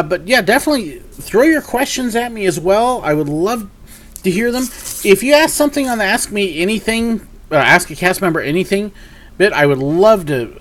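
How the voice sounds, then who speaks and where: Man in his 40s, upbeat live-stream host, lively up-and-down delivery, fairly high voice, slight sniffle. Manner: chatty, upbeat, rambling with many fillers. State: sniffly from a cold. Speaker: YouTube host. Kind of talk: live Q&A and mail call. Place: home office with shelves of collectibles.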